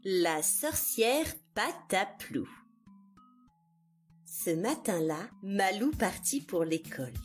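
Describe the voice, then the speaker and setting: A woman narrating a scripted fairy tale for young children in an expressive, dramatic way. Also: mid-range voice, slow pace. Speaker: children's storyteller. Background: music behind the voice.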